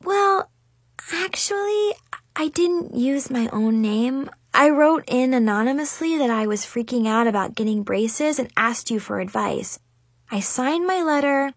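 A woman's voice: high voice, animated, measured pace, no room sound, voice pushed up, exaggerated voice. A woman voicing a shy, anxious, insecure schoolgirl in a storybook, hesitant and sniffly. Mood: hesitant, sheepish.

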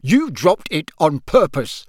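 Audiobook: exasperated wizard dad, man reading, high and bellowing. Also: big pitch swings, slow pace, no echo, clean studio sound, full character voice. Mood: angry scolding. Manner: shouting, scolding, then mumbling sheepishly. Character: angry middle-aged wizard father, exasperated. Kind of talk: audiobook character voice.

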